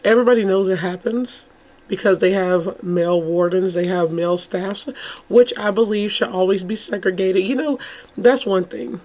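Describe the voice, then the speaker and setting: Woman youtuber w a bit of a hoarse voice, chatty rambling commentary, real informal. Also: mid-range voice, normal speed, darkish tone. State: slightly hoarse. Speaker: YouTube true crime host. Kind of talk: casual chatty commentary to camera.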